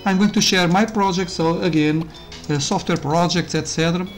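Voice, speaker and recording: A man's voice: sits high, fairly even intonation, normal speed. Casting note: man, chatty game commentary, loose and rambling, with faint game music underneath. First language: Portuguese. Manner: rambling, self-interrupting, with frequent fillers and laughs. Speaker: YouTuber reviewing a game. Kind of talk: casual gameplay commentary.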